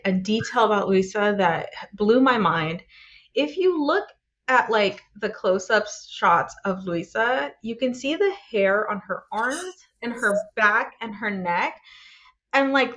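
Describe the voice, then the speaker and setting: A woman's voice: mid-range voice, fairly bright tone, highs cut off. A woman speaking earnestly in a casual podcast chat, checking in with "right?". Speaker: podcast panelist.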